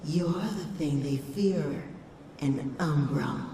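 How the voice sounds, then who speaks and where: A woman's voice: sits low, slow pace, dark tone. A woman voicing a sinister, manipulative shadow creature in a comic dub, speaking in a coaxing, ominous manner. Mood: taunting, revealing. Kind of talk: dramatic comic dub acting. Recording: echoing hall reverb, distant, faint noise.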